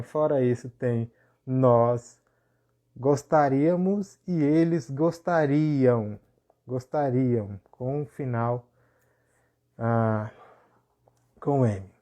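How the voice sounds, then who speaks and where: A man teaching a live language lesson, explaining calmly and greeting viewers by name. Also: mid-range voice. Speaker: Portuguese teacher.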